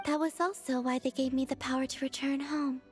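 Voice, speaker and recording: A woman voicing a gentle, naive young moon goddess in a video game, soft and airy, with a measured, formal way of speaking. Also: sits high, fairly even intonation, close to an everyday voice. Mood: wistful, calm. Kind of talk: video game character acting. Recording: faint music behind the voice. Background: game music.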